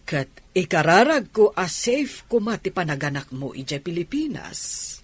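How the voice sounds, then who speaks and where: A woman with an Ilocano accent and a husky voice playing a protective, indignant mother in an audio drama. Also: on the low side, sing-song, normal speed, slight background noise. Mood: caring. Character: protective middle-aged mother, caring and indignant.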